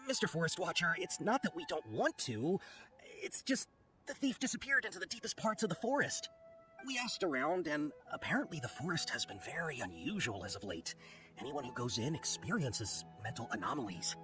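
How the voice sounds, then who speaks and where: A man voicing a flustered, apologetic caravan merchant in a video game, hesitant and animated in his delivery. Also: fairly high pitch, a little fast. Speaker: voice actor in game dialogue. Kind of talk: scripted video game voice acting. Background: game background music.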